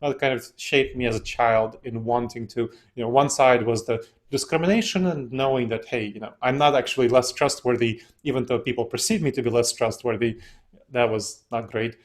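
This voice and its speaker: Guest: easygoing man, non-native English, mid-pitched, chatty storyteller. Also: fairly quick. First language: not English. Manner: relaxed, laughs often while telling stories. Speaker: interview guest, decision-making expert. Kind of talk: casual interview storytelling.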